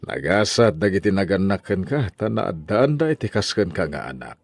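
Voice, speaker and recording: Casting: male actor for an Ilocano Filipino boss and husband in a drama serial, low lively voice, dry sound. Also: normal speed, creaky, dry recording, heavily acted. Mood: kindly, grateful. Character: married male boss, kindly but evasive husband. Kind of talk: Ilocano drama series voice acting.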